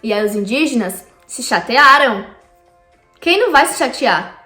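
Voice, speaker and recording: Brazilian woman vlogger, higher voice, chatty and direct with viewers; echoey room, faint music under. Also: animated, unhurried, sharp tone. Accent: Brazilian Portuguese. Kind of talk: casual explainer vlog. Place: lives in Canada.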